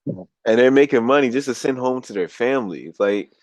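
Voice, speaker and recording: A man's voice: mid-range voice, expressive, a little fast, a little mellow, no room sound. A young American man co-hosting a podcast, talking bluntly and profanely in a casual chat. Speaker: podcast co-host.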